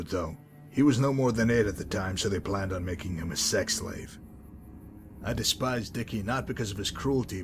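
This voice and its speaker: A man playing a calm, menacing hitman with a bitter past in a video game, speaking in a measured, deliberate, coolly condescending way. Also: on the low side, slight background noise, natural voice. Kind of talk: dramatic video game mod monologue and dialogue.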